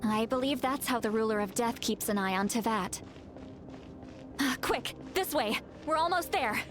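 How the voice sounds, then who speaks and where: A woman's voice: on the high side, animated, moderate pace, a crowd in the background, close to an everyday voice. A woman playing a composed, knowledgeable young guide in a video game, explaining things earnestly and seriously. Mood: urgent.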